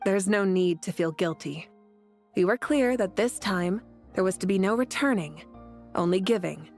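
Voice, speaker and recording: Actress doing calm wise lady leader in a game, medium pitch, welcoming and reassuring. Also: normal speed, faint music behind, natural voice. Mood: warm, composed. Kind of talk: video game character acting.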